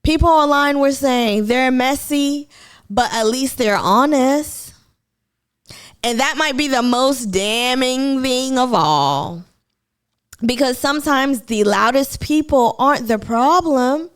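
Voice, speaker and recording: A woman's voice: sits high, slow pace, clear, resonant tone. A woman from Kenya with a Kenyan accent, chatting casually to viewers on a live stream about a TV show. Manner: chatty, addresses viewers as y'all.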